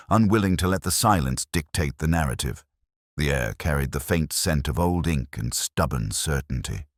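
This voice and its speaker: A man with an extremely deep voice narrates a first-person detective story. His delivery is measured and brooding, with wide swings of intonation for a literary, scripted read. The sound is close and dry. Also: no echo.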